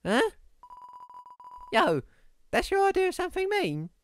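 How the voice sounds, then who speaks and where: A woman voicing a naive, excitable young monster kid with slangy, childlike delivery in a video game. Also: very high voice, a little slow, slight background noise, heavily acted. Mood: awkward. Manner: eager, slangy, childlike exclamations. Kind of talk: cartoonish video game dub.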